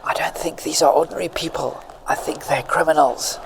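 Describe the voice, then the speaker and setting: A woman's voice: low-pitched, fairly even intonation, brisk, heavy background noise. A woman playing a dry-witted, wry sidekick investigator in a radio drama. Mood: wary.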